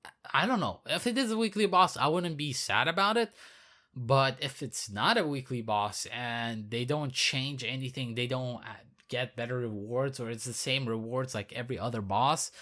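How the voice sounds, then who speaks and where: Hoarse man rambling about a game update. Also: medium pitch, a bit bright. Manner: rambling, filler-heavy, repeats himself. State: hoarse, losing his voice. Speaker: gaming video creator. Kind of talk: casual game update commentary.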